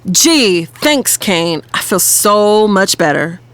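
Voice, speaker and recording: A woman's voice: medium pitch, sing-song, takes it slowly, fairly bright tone, slight background noise. A woman playing a sarcastic, wounded secret lover in an audio drama, with music under her voice. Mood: sarcastic, hurt.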